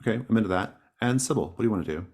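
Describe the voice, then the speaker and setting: Very low-voiced man running a game. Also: expressive, very fast, darkish tone, breathy edge. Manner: encouraging, affirming players' ideas. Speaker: game master. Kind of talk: casual tabletop game facilitation, explaining rules and setting.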